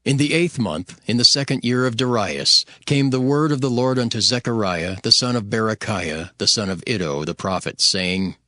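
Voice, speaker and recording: Low, dry-sounding male narrator. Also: expressive, normal speed, hardly any pauses, pushed and bright, no room sound. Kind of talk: formal scripted scripture narration.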